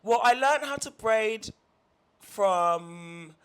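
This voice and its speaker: A woman's voice: mid-range voice, expressive, extremely slow, clear, resonant tone. A young woman from England, chatting casually as an interview guest in her studio, with lots of fillers. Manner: chatty, lots of fillers and restarts, laughs easily. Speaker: guest artist. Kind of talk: casual conversation.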